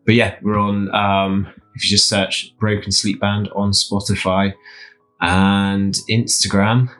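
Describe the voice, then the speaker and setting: Man, lowish voice, casual podcast guest, hesitant, agreeable, guitar music behind. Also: level tone, slow, a bit bright, rough recording. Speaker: podcast guest, band member. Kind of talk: casual chat.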